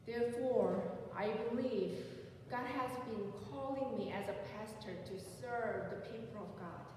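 A woman's voice: fairly high pitch, a little mellow, slight background noise. A woman pastor in her forties with a Korean accent, preaching an earnest personal sermon from the pulpit in a church sanctuary. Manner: slow, earnest, personal testimony. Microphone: pulpit mic, recorded by a far camera. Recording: echoey sanctuary from far off.

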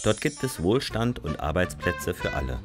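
A man playing a smug, cheery fairy in an animated explainer, theatrically selling a promise with emphatic salesman patter. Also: sits low, moderate pace. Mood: grandly promising. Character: smug, cheery male free-trade fairy, overselling. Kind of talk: scripted fairy-tale advocate in animated explainer. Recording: music behind the voice.

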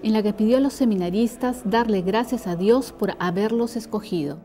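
Warm-voiced woman narrating news. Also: mid-range voice, normal speed, faint music behind. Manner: calm, even reporting. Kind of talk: scripted news voice-over.

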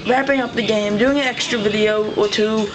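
A hoarse man casually commenting on his gameplay as a let's play host, rambling and breaking into frustrated outbursts. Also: high voice, fairly even intonation, normal speed, a crowd in the background. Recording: distant mic over loud game music.

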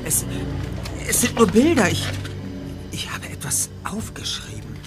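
A sharp-witted teenage detective who falters over memories and then gives orders. His delivery rises and falls a lot. Background music plays beneath him. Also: sits high, huge pitch swings, a little slow, exaggerated voice. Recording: music under the dialogue.

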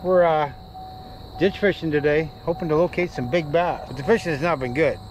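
Older male fishing-channel host, relaxed and chatty, slightly higher voice, faint insect hum outdoors. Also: fairly quick, rough recording. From Canada. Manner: easygoing, chatty, talks to the fish while reeling. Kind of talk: casual outdoor vlog narration. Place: outdoors by a ditch, opening in a vehicle.

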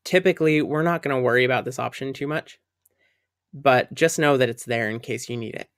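A man narrating a screen-recorded software tutorial, explaining settings in a friendly, upbeat way. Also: medium pitch, brisk, no room sound, studio quality.

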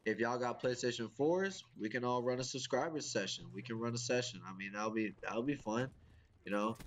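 A man's voice: mid-range voice, a little fast, slight background noise. A young man with a congested, sniffly voice from a cold, giving casual, profane gameplay commentary and ranting about his teammates. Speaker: gaming YouTuber.

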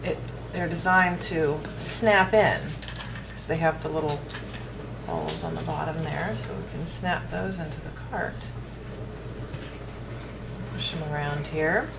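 A woman's voice: deep voice, a little slow, vocal fry, pauses often, background noise. Chatty woman demoing a toy set. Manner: chatty, explaining as she plays. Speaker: toy reviewer. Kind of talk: casual toy demo.

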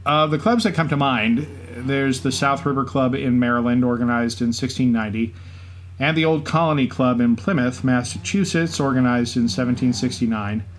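A man's voice: medium pitch, normal speed, lightly acted. A man co-hosting a podcast, telling stories in light scripted banter. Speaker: podcast co-host. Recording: clear background noise and ambience.

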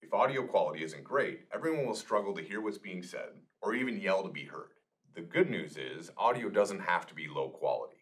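A man's voice: sits low, lively intonation, fairly quick. A middle-aged man giving a scripted product presentation to camera in a small meeting room. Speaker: product manager presenting a demonstration.

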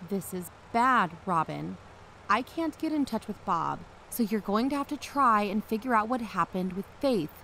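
A woman's voice: medium pitch, animated, normal speed, bright, forceful tone, slight background noise, poor audio. A woman playing a cautious, practical investigator's partner in an audio drama. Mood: tense.

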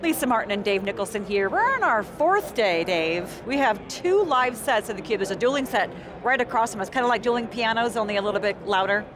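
A middle-aged woman hosting a live interview, speaking in a bright, brisk presenter manner. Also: fairly high pitch, expressive, a crowd in the background. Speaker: host. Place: convention hall set. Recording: trade show noise behind.

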